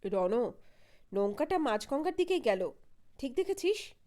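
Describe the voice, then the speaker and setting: A woman's voice: high-pitched, big pitch swings, unhurried, mellow. A woman voicing a cautious, questioning senior male detective in an audio mystery story.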